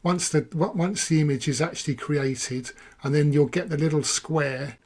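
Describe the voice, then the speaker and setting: A hoarse man, casually talking through a software walkthrough and thinking aloud as he clicks. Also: a little high, moderate pace. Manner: rambling, self-correcting, thinking aloud. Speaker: software reviewer. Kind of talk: casual screen-recorded walkthrough.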